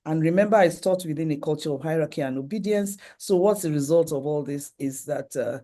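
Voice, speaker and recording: Mellow-voiced middle-aged Nigerian conference speaker. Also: mid-range voice, a little fast. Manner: earnest, lecturing through lists of points, frequent restarts. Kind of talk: presentation with slides.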